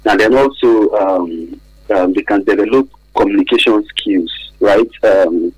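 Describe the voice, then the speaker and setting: A man with a Nigerian accent speaks in a low, husky voice. He explains things haltingly, as an expert guest would in a radio interview. The sound is close and dry. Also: darkish tone, no echo, dull audio. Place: radio studio.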